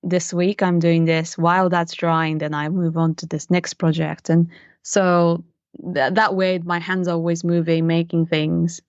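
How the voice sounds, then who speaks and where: Low-voiced woman, muffled audio. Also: flat delivery, unhurried, no echo. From Japan. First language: Japanese. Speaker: interview guest, miniature artist. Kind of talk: casual interview chat.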